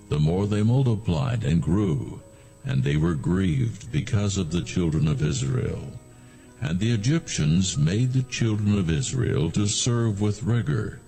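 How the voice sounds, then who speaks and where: Male scripture narrator, heavy vocal fry, slow and solemn, with expressive rises and falls. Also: lowish voice. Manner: measured, solemn storytelling.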